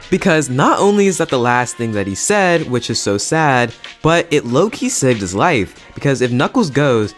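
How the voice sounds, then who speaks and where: A young man hosting a casual video review in an enthusiastic, slangy, emphatic way. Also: medium pitch. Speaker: YouTube host and film reviewer.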